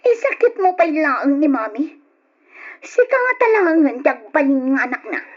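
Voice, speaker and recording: A woman's voice: sits high, big pitch swings, moderate pace. A woman playing a sulky, resentful young daughter in an old radio drama, complaining petulantly. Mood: sullen, bitter. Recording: dull old radio recording.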